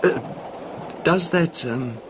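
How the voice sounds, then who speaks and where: An actor playing a wealthy, nervous, middle-aged recluse husband in a hesitant, genteel 1970s radio drama style. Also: male voice, on the high side, slow. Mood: wistful, dreamy. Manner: hesitant, genteel, formal phrasing. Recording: noisy old radio recording.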